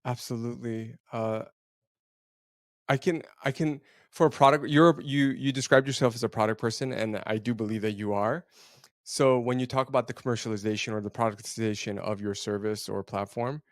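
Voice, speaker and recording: Male interviewer, mid pitch, chatty and loose, dry room. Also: steady tone, moderate pace, no room sound. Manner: chatty, rambling questions. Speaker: podcast interviewer. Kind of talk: casual interview questions.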